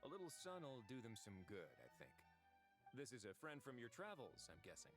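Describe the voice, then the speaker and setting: An actor playing a calm, laid-back duke in a video game, dry and teasing in manner. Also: male voice, medium pitch, normal speed, over music. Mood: wry. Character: calm, wry, easygoing duke who oversees a prison. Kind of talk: English video game voice acting.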